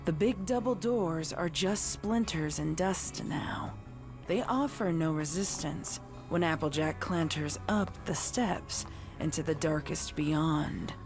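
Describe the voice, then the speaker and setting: A woman reading dark horror fiction as scripted narration. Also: low voice, takes it slowly, over music.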